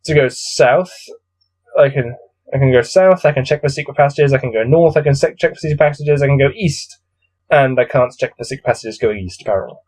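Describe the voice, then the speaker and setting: A man's voice: mid-range voice, a little fast, a little mellow, low-quality recording. A man with a cold coming on reads a gamebook aloud, adding weary, exasperated, off-the-cuff commentary. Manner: weary, grumbling, exasperated asides. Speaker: solo gamebook reader and commentator.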